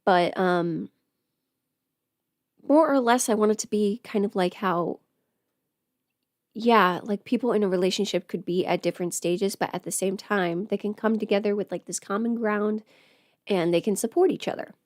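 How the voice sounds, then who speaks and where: A woman chatting casually on a livestream in a halting, rambling way, often trailing off mid-thought. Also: mid-range voice, dry recording, studio quality. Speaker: livestream host, audio performer. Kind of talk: casual livestream chat while getting ready.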